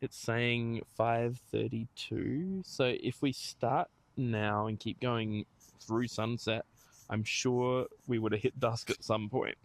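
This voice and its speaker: A man's voice: medium pitch, fairly even intonation, slow, no room sound. A man with an Australian accent chatting casually as a podcast co-host, wry and playfully teasing, out on a walk. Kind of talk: casual chat. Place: outdoors, walking along a small-town street.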